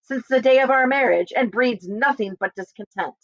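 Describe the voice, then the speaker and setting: A woman's voice: on the high side, moderate pace, dull audio, pitched above the performer's own voice, close to an everyday voice. A woman reading the part of a pompous, irritable, dismissive medieval husband in a storybook. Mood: irritated, dismissive. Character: haughty, irritable prosperous husband in medieval England.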